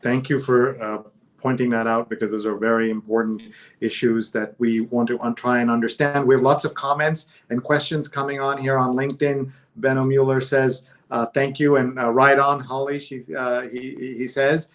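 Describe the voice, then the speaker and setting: Male interview host, mid-pitched and flat in intonation, stop-start phrasing. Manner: halting, hesitant phrasing with repeated words. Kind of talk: live stream interview hosting.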